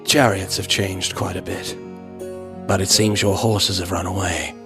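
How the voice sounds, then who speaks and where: A man's voice: deep voice, little pitch movement, measured pace, vocal fry, music in the background. An actor playing an ancient male warrior from another age in an audio drama, speaking in a formal, droll, archaic way. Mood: dry, droll. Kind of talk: scripted audio drama acting.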